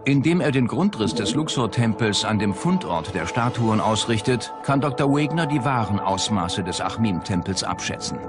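A man with a fairly low voice delivers scripted documentary narration, with prominent music playing underneath. The reading is that of a narrator presenting a factual film. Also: animated, normal speed, hardly any pauses. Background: loud background music.

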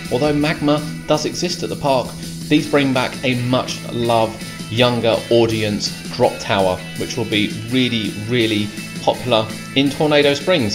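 A British man giving a descriptive tour commentary as a theme park vlogger. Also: mid-range voice. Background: guitar music.

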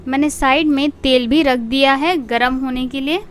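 A middle-aged woman hosting a home cooking channel, calmly explaining each step of a recipe to viewers in a voice-over. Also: high-pitched, moderate pace. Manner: calm, explanatory, addresses viewers directly. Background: soft background music.

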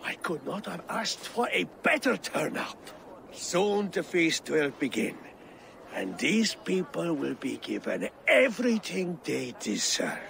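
An actor playing a pompous, scheming middle-aged Norse lord in a video game, with theatrical, grandiose delivery. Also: male voice, on the high side, sing-song, measured pace, creaky, fairly bright tone, a little hiss or hum, full character voice. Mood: genial. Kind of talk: video game cutscene acting.

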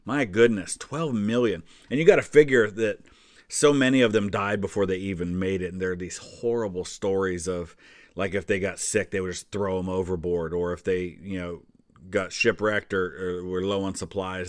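A man's voice: sits low, fairly even intonation, normal speed, no echo, studio quality. An American man in his forties hosting a history channel with casual, conversational reaction commentary and explanatory asides. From United States.

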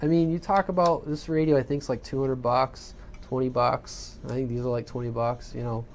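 A man's voice: mid-range voice, fairly even intonation, brisk, a little hiss or hum. A man giving an unscripted, casual hobby radio tutorial in a plain-spoken, colloquial way, often checking in with the listener. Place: indoors at a home workbench.

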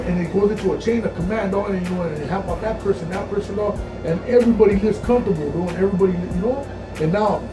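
A middle-aged man with a high-set voice chats casually as an interviewee, swearing freely and leaning on slangy filler. The room rings around him and music plays clearly behind. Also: recorded from a distance. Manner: slangy, profane, peppered with "dude", "dog" and "you know". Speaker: rapper and actor being interviewed. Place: small home recording studio, indoors. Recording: roomy, off-mic, music behind.

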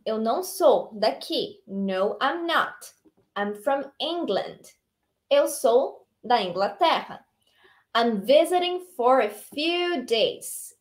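High-voiced Brazilian woman teaching English. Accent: Brazilian Portuguese. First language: Portuguese. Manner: lively, encouraging, reads English lines slowly. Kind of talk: online English lesson. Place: small indoor room.

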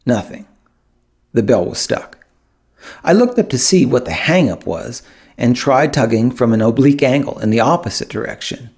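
A man narrating a first-person audiobook in an expressive storytelling manner, with dramatic pauses. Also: mid-range voice, vocal fry, breathy edge. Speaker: author narrating his own audiobook.

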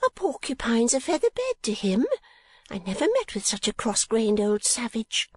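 Storybook figure: shrewd gossipy older female painter, high and exclamatory, voiced by a woman narrator. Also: big pitch swings, moderate pace, slightly rough, dry recording.

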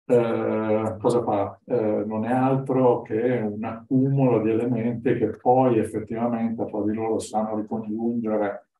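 Middle-aged man, mellow tone, explanatory talk, echoey classroom, far from the mic. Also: on the low side, flat delivery, measured pace. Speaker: guest speaker, video artist. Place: classroom, indoors. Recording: roomy, echoing, far from the mic.

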